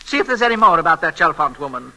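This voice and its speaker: An actress playing an imperious, grumbling old invalid aunt who softens under flattery, in old-time radio drama style. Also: female voice, low voice, racing, full character voice. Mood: imperious, peevish. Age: older. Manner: querulous, haughty. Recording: vintage radio broadcast recording.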